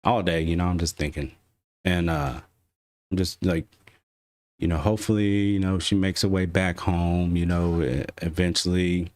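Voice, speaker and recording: A man's voice: sits low, steady tone, normal speed, darkish tone, no room sound, studio quality. A man co-hosting a podcast, telling a story in a laid-back, joking way and swearing casually. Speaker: podcast co-host.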